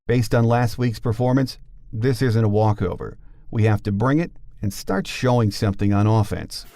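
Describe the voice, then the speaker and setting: A man's voice: lowish voice, moderate pace, dark tone, no echo. A middle-aged man reading scripted sports podcast narration as the host.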